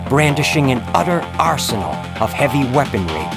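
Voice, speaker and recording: A man's voice: medium pitch, sing-song, a little fast, creaky. A man giving a grave, theatrical scripted narration in the style of a true-crime horror tale. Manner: grave, florid, theatrical delivery. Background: music bed.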